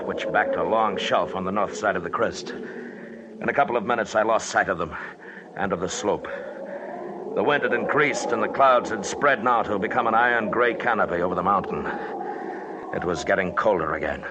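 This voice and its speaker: An actor playing a tough, resolute mountain climber in a 1950s radio drama, with somber, suspenseful dramatic phrasing, on a very noisy old recording. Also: male voice, on the low side, lightly acted. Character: tough, resolute male mountain climber recounting a doomed expedition.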